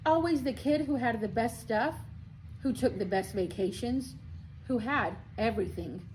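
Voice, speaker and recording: A woman's voice: on the high side, normal speed, darkish tone, a little hiss or hum, poor audio. A woman reading a children's story aloud expressively, like a school librarian at storytime.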